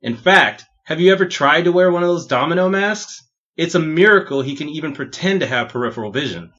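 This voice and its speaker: A man's voice: fairly high pitch, animated, brisk, bright, forceful tone, highs cut off, pitched above the performer's own voice. A man voicing a blustering, arrogant male police inspector who sounds pompous and scornful, in an audio drama.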